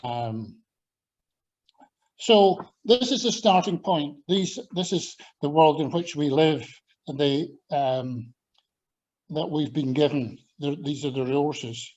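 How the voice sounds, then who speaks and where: An older man from Scotland with a Scottish accent, giving a measured, thoughtful talk to a church audience, often pausing with ums and restarting sentences. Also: a little high, expressive, a little mellow, highs cut off. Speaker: church talk speaker, former agriculture lecturer. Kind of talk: presenting a talk with slides.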